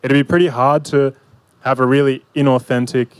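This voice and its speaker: A man's voice: medium pitch, little pitch movement, normal speed, clear, resonant tone, no room sound. A young man facilitating a panel outdoors, answering thoughtfully with many fillers and restarts into a handheld mic. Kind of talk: hesitant panel discussion. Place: outdoor park pavilion.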